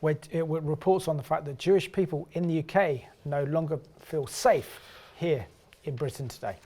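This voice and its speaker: Male show host, kinda high voice, short friendly prompts. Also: moderate pace, dark tone. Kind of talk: talk-show hosting.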